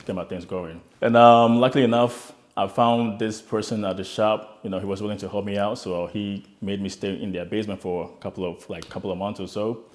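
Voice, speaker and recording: A man's voice: lowish voice, fairly even intonation, a little fast, hardly any pauses. A young man, earnestly telling his own immigrant story in an interview, often repeating words as he restarts. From Ghana. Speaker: interviewee, immigrant start-up founder. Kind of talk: reflective personal story.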